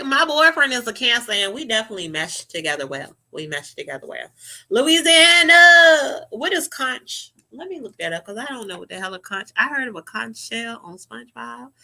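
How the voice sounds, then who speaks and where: Young woman ranting on her vlog, sassy and cussing, bright voice with a lot of bounce. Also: a little high, creaky. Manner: animated, sassy, profane. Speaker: vlogger. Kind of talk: casual reaction commentary.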